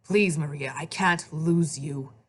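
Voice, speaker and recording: Give this woman's portrayal of a brooding underworld god from a fantasy storybook. He is agitated and urgent, pleading with grave insistence in a lowish voice. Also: slow, breathy edge, exaggerated voice. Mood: anxious, pleading.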